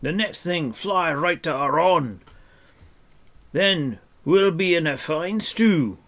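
A man reading a storybook as a gruff, angry dwarf who is snappish, sarcastic and scornful. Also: fairly high pitch, slow, fairly bright tone, pitched above the performer's own voice. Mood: exasperated, sarcastic.

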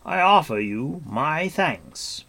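Wise warrior noble, voiced by a male narrator: authoritative, formal, unhurried storybook delivery. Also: fairly high pitch, lively intonation, pushed and bright, voice pushed up, heavily acted. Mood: gently chiding. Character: noble, wise, commanding warrior lord, grave but gentle.

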